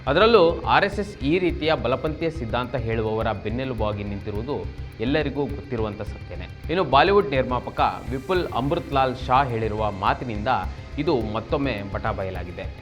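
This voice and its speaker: A man's voice: mid-range voice, animated, normal speed, soft background music. A man from Karnataka presenting a scripted political news explainer, formal and expository, addressing viewers directly. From Karnataka, India.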